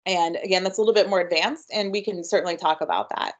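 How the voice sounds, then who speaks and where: A woman's voice: lowish voice, fast talker. A woman giving an upbeat, chatty webinar presentation with frequent ums. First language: English. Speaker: workshop presenter.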